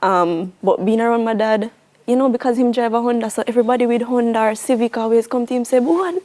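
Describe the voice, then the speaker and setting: Young Jamaican woman, higher-pitched voice. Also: quick pace, a little mellow. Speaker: interview guest, young mechanic. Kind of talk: casual TV interview.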